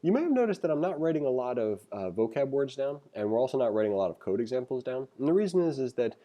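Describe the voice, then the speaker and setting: A man's voice: medium pitch, big pitch swings, fast talker, dark tone, muffled recording. A man giving a casual, conversational programming tutorial as instructor, reading along and adding opinionated, self-deprecating asides.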